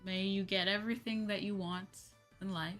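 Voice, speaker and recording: A woman's voice: medium pitch, steady tone, normal speed, a bit bright, faint music behind. A slightly sleepy woman hosting a livestream, chatting casually and informally with her viewers. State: a little sleepy. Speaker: livestream host, VTuber.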